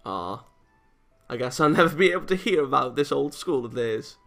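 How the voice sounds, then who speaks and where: Gravelly, bright-voiced man reading aloud. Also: mid-range voice, expressive, moderate pace. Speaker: let's-play narrator. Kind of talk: reading a visual novel aloud. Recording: music behind the voice. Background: game music.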